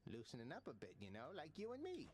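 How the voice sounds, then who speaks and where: Chummy undead skeleton, mid-pitched, hugely animated swoops, wisecracking old-time tough-guy talk, video game character. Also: fast talker. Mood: chummy, conspiratorial. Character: chatty, chummy undead skeleton sparring dummy, male.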